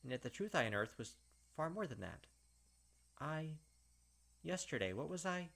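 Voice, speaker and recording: A man's voice: a little high, sing-song, moderate pace, gravelly, fairly bright tone, pitched above the performer's own voice, exaggerated voice. A man narrating a visual novel as a distraught, obsessive young killer who doubts his sanity, brooding and repeating himself insistently. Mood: shaken, doubting. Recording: game noise behind the voice.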